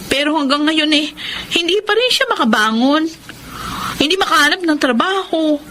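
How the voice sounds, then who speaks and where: A woman's voice: high-pitched, lively intonation, normal speed, sharp tone, slight background noise. A woman acting a modest, worried wife in a Filipino radio drama. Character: modest, worried wife, uneasy about her brother.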